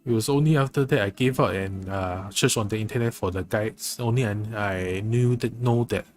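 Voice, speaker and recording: Low-voiced man, halting casual game streamer. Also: darkish tone. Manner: halting, repeats and rephrases himself. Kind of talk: casual live game commentary. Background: game music.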